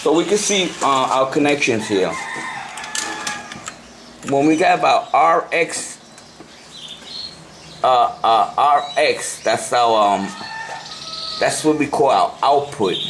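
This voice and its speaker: A man with a Puerto Rican accent and a mid-pitched voice walks through a hands-on demonstration. He speaks casually and haltingly, often repeating or correcting himself. The amateur recording has clear background noise. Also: lively intonation. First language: Spanish. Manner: halting, repetitive, self-correcting. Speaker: amateur radio hobbyist giving a tutorial. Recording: noisy amateur camcorder sound.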